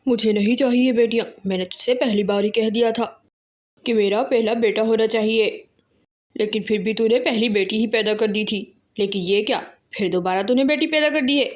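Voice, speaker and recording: Woman doing a harsh, high-voiced bird husband. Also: normal speed, close to an everyday voice. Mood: cruel anger. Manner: harsh, contemptuous. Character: cruel, heartless bird husband rejecting daughters.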